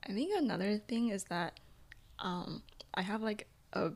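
Easygoing young woman, halting chat. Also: medium pitch, lively intonation, a little mellow. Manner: easygoing, halting, many fillers. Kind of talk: casual group chat.

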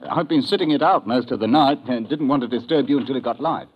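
An actor playing a jolly, bumbling English gentleman motorist with a British accent and genteel manners, in an old-time radio drama. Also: medium pitch, fast, close to an everyday voice. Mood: jolly, chatty. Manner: genteel, old-fashioned exclamatory politeness. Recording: muffled, noisy old radio recording.

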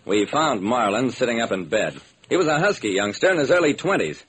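A man's voice: mid-range voice, sing-song, a little fast, a bit bright, a little hiss or hum, rough recording. A man narrating a scripted radio detective drama in the first person, telling it dramatically. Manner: dramatic storytelling.

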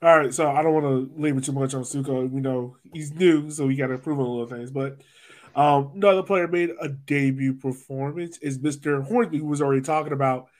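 A man's voice: medium pitch, brisk. A man moderating a sports podcast in a casual, conversational style. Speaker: podcast host moderating the discussion. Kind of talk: casual chat.

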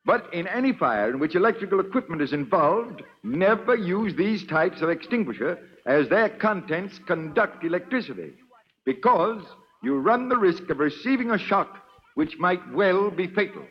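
An actor playing a formal, courteous school doctor in a 1940s radio drama. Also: male voice, high-pitched, lively intonation, normal speed. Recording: dull old radio recording.

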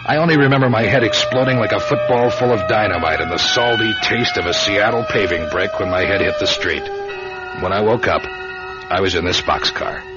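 A man's voice: on the low side, moderate pace, lightly acted. An actor playing a world-weary, hard-boiled drifter, telling his misadventure in wry, clipped noir radio drama style. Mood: grim, wry. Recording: dull old radio recording, loud music behind.

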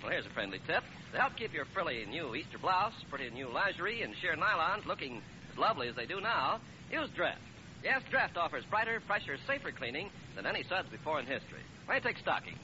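A man's voice: on the high side, very animated, fairly quick. An American man announcing a 1940s radio commercial with a chummy, emphatic sales delivery. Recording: muffled, noisy old radio recording.

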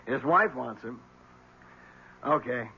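A wry police lieutenant in a radio play. He is deliberate, sardonic and self-promoting. The old broadcast is slightly muffled. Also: mid-range voice, animated, noisy background. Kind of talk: 1970s radio drama acting.

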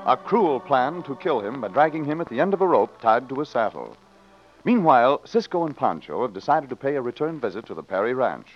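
A man narrating as a dramatic old-time radio announcer. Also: medium pitch. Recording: dull vintage radio recording. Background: organ music.

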